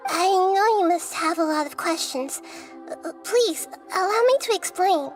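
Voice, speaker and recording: A woman voicing a small, wise child goddess in a video game, polite, gentle and measured. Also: extremely high pitch, expressive, soft background music. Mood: calm, explaining.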